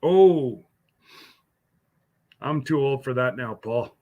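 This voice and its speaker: A man's voice: medium pitch, expressive, slow pace, a little mellow, dull audio. A man hosting a casual live stream, rambling along as he answers viewers by name, with many fillers and restarts. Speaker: livestream host.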